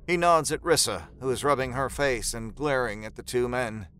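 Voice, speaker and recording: A man's voice: medium pitch, normal speed, fairly bright tone. A man narrating a scripted horror story in a dramatic storytelling style.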